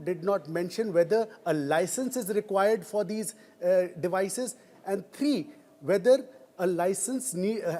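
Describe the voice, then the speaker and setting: A man with an Indian accent explaining a story as a news reporter in a hesitant, halting way. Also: high-pitched, a little mellow. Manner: hesitant, halting delivery with frequent pauses.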